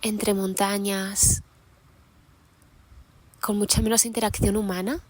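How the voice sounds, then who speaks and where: A young woman hosting a podcast, musing aloud in an unscripted monologue while sitting outdoors in a mountain meadow, thoughtful and unhurried with many pauses and restarts. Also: medium pitch, flat delivery, no echo. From Catalonia, Spain. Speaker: podcast host.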